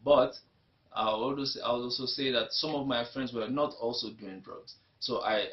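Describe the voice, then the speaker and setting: A man's voice: medium pitch, moderate pace. A young Nigerian man with a Nigerian accent, telling his life story in an interview in a reflective way that often repeats itself. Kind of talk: personal testimony. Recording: dull lo-fi recording.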